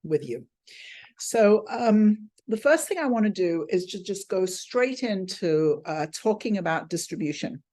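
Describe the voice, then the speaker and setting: A woman's voice: medium pitch, expressive, a little slow, dark tone. A woman with an effusive, exclamatory manner, teaching an informal online class over a video call. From England. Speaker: teacher of a film finance class.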